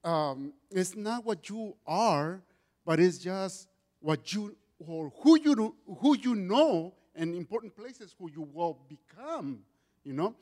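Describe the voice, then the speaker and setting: Loud, high-voiced Mexican man testifying. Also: lively intonation, slow. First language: Spanish. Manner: loud voice, earnest with many restarts. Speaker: guest speaker giving testimony. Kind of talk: church testimony.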